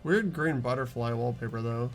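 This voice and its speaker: A man's voice: mid-range voice, moderate pace, faint music behind. A man hosting a video game playthrough, commenting in an informal, chatty way. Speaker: playthrough host and voice actor. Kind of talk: casual gameplay commentary with dialogue reading.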